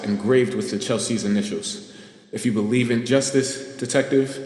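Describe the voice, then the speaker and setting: Casting: male actor for chivalrous masked stage hero, low voice, earnest and theatrical, radio play. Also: a little mellow, husky. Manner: theatrical, chivalrous, bashful when flustered.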